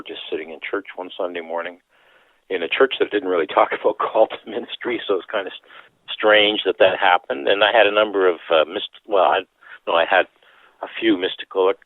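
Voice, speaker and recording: A man's voice: sits low, dry recording. A man in his sixties from Canada, a priest and author, giving reflective interview answers with frequent restarts. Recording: tinny phone-like line.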